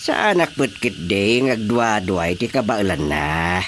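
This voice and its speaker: A man's voice: fairly low pitch, big pitch swings, measured pace, a bit bright, slight background noise. An actor with an Ilocano accent playing an older husband and father in a radio drama. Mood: earnest.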